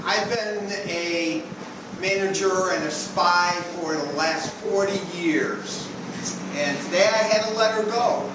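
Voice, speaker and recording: A man's voice: high-pitched, slow pace. A man improv player acting as a weary veteran spy manager who rambles hesitantly. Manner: rambling, hesitant, with many fillers. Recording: echoey hall from far off, noisy.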